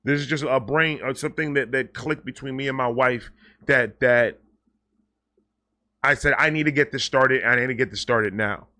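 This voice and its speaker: A man talking casually and off the cuff to his viewers, excitable and rambling, often repeating and stammering over words, with slangy, sweary phrasing. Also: mid-range voice, normal speed. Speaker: online video creator and host. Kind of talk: casual unscripted vlog talk to viewers.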